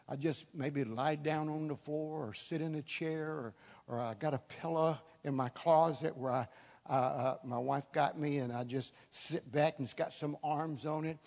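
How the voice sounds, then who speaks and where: A man in his seventies preaching a charismatic sermon, repeating phrases for emphasis. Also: on the high side. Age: 70s. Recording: echoey hall with long reverb.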